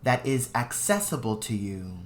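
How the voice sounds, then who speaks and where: A meditation guide speaking soothingly and unhurriedly in short phrases with long pauses, leading a guided meditation. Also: mid-range voice, animated.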